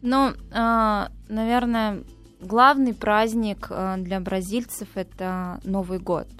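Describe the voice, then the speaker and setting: A woman's voice: mid-range voice, steady tone, takes it slowly, fairly bright tone, smooth, soft background music. Enthusiastic woman guest with fillers. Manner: enthusiastic, hesitant with frequent fillers. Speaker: travel agency guest. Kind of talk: radio interview.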